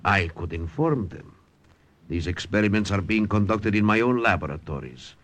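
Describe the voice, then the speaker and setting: Male role: elderly Italian scientist, low register, courteous but calculating, vintage radio thriller. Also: expressive, fairly bright tone. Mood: confident, bargaining. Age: older. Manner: measured, formal, politely insistent. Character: old, tired Italian scientist, shrewd, calm, calculating bargainer. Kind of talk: old-time radio drama acting. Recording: vintage radio drama recording.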